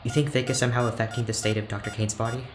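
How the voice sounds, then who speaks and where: Very deep-voiced, hesitant female doctor. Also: fairly even intonation, racing. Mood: concerned. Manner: hesitant, earnest, questioning. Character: hesitant, apologetic, worried doctor. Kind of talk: audio drama acting. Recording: roomy, music underneath.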